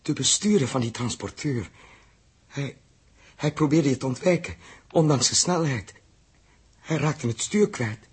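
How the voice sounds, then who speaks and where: An actor playing a tormented, guilt-ridden, feverish man in a 1960s radio drama, halting and repeating his words. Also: medium pitch, husky. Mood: guilty, troubled.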